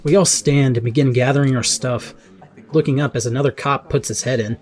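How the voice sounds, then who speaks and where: A man's voice: mid-range voice, fairly even intonation, brisk, noisy background, close to an everyday voice. A man playing an awkward, earnest, hesitant teenage boy in an audio drama. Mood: tense, reflective. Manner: hesitant, apologetic. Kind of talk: first-person audio drama narration and dialogue.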